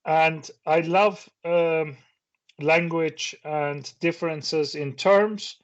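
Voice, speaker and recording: A man's voice: fairly high pitch, fairly even intonation, very slow. A middle-aged man whose first language is Turkish, giving a reflective conference talk that poses questions to the audience, with occasional restarts. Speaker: conference speaker. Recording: dull lo-fi sound.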